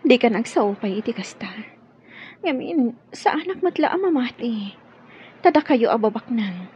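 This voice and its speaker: A modest young Ilocano maid in a radio drama, hesitant and guarded. Her voice is fairly high, on a dull recording with a faint hiss of noise. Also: big pitch swings, moderate pace, a little mellow. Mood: timid, pleading.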